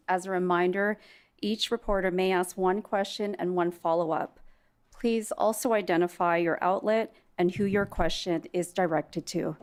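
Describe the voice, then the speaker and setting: A woman moderating a press conference, brisk and procedural as she opens the floor to questions. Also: on the low side, darkish tone. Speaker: event moderator.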